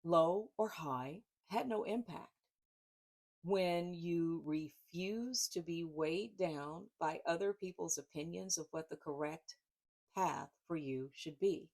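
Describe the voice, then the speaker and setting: A woman author speaking informally and reading expressively from her book, with deliberate, emphatic phrasing and pauses. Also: lowish voice, darkish tone.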